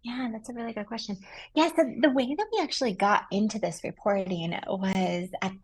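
Woman reporter, gravelly and husky, explaining in interview. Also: medium pitch, fairly quick, darkish tone.

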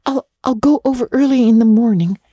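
A woman's voice: on the high side, brisk, warm tone, husky, no room sound, highs cut off. A woman voicing an earnest, romantic young girl in a dramatic storybook reading, gushing and breathless, tripping over eager repetitions. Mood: anxious, reluctant. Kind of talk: dramatic audiobook reading of classic children's literature.

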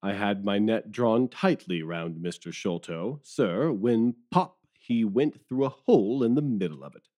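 A man plays a humbled police detective in an audio drama. The voice is low and mellow, with pitch that swings widely. He is wordy, deferential and rueful. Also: lively intonation, measured pace, few pauses. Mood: rueful, worried.

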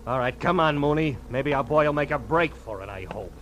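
A man's voice: expressive, a little fast, natural voice. A man acting an exasperated police detective lieutenant in a 1940s radio drama, sputtering impatient questions. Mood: resigned. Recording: very noisy vintage radio, vehicle rumble.

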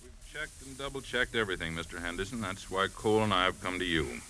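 An actor playing a dogged, respectful police captain in 1950s radio drama style. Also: male voice, low-pitched, fast, pushed and bright, soft background music. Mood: insistent, respectful. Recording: hissy old 1950s radio broadcast.

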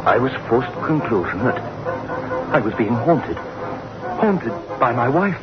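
A man playing a jittery, guilt-ridden husband in a 1960s radio horror drama, tense and halting. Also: medium pitch, very animated. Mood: haunted, fearful. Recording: muffled old radio recording, loud music.

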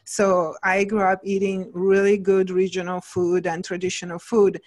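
A woman's voice: medium pitch, monotone, normal speed, a little mellow. Italian-accented woman, chatty nutritionist. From Italy. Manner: chatty, many fillers like "like" and "you know". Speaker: nutritionist guest. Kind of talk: casual interview talk.

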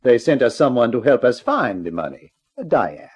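An actor playing a smooth-talking, dangerous crime boss in a 1950s radio drama, husky-voiced. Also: male voice, medium pitch, big pitch swings, normal speed. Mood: smug.

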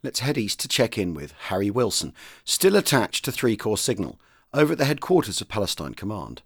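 Husky, lively man presenting history. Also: lowish voice, brisk, dry recording, clean studio sound. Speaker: podcast presenter. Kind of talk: scripted narration introducing diary readings.

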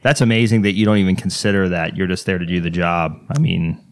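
A lowish, dryly recorded male voice with a light Texas accent. He hosts a casual interview, rambling through long questions and poking fun at himself as he goes. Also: steady tone, fast, no room sound. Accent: American, slight Texas. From Texas, United States. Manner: rambling, self-deprecating, jokey. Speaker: podcast host interviewing a guest.

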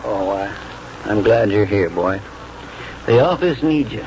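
An actor playing a gentle, ailing old country lawyer, halting, folksy and wistful, in 1940s radio drama style. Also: male voice, on the low side, animated. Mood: weak, glad. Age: older. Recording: dull 1940s radio recording, faint noise.

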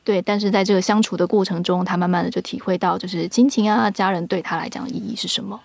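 Female, Taiwanese Mandarin accent, mid pitch, dry recording. Guest producer talking through a story. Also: steady tone, fast talker, vocal fry, darkish tone, no room sound. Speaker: guest, audio drama producer. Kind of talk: explaining a story in podcast conversation.

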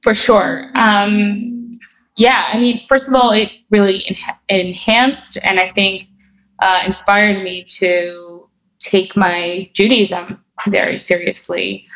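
A woman's voice: medium pitch, fairly even intonation, slow pace, fairly bright tone, poor audio. A woman from New Jersey answering interview questions, thoughtful and chatty, with many fillers and restarts. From New Jersey, USA. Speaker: guest.

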